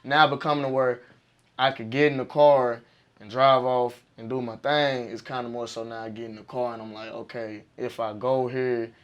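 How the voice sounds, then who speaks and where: A young man from Arkansas with a raspy voice, a music artist telling stories in a casual interview, animated and full of slang. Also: mid-range voice, normal speed, lo-fi sound. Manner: animated, profane storytelling with slang.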